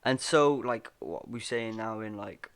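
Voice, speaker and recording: A man's voice: on the low side, a little slow, clean tone. A young man hosting a casual podcast chat, rambling and laughing as he talks, full of "bro" and "like". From Wales. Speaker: podcast host.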